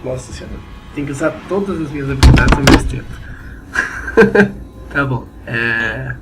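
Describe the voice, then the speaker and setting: A man's voice: medium pitch, animated, a little slow, heavy background noise. A young man with a Brazilian accent chatting casually as a player in a tabletop roleplaying game.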